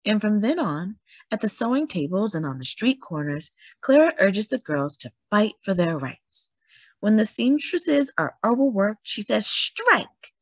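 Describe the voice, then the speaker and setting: A woman reading a picture book aloud in a dramatic, emphatic storytelling manner with deliberate pauses. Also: mid-range voice, big pitch swings, no room sound. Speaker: children's read-aloud story host. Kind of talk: expressive picture book narration.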